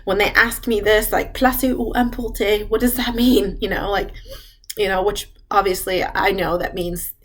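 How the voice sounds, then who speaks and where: A woman's voice: mid-range voice, moderate pace, fairly bright tone. Chatty woman sharing study abroad tips. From United States. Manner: chatty, frequent fillers and laughs. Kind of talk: casual advice talk.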